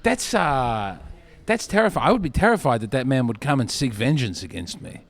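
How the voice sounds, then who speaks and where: A man's voice: mid-range voice, big pitch swings, moderate pace. A man from Australia hosting a podcast, chatting casually with a dry, wry sense of understatement. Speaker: podcast host.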